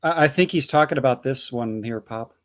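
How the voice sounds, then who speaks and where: A man's voice: mid-range voice, a little fast, noisy background. A man hosting a casual livestream, reading out viewer donations and messages in a chatty, swearing manner.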